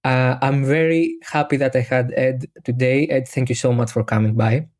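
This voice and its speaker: Greek guy hosting a podcast, native greek speaker, mid-pitched voice, chatty, jokes around and pokes fun at himself. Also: steady tone, moderate pace, darkish tone. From Greece. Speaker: podcast host. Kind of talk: casual podcast chat.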